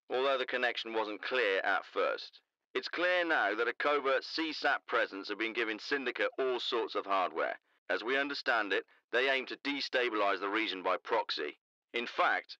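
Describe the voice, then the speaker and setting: A band-limited radio voice, sharp and forceful: an intense special forces captain in a video game. He reports bluntly and urgently from the field. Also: on the low side, expressive, moderate pace, no echo, lo-fi sound. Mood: blunt, factual. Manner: blunt, urgent, matter-of-fact. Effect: military radio filter.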